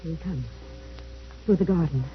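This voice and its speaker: A woman's voice: deep voice, moderate pace, soft background music, close to an everyday voice. A woman playing a fearful, brooding mother full of dread in an old-time radio drama, with a dramatic, foreboding manner. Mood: frightened. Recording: muffled old radio recording.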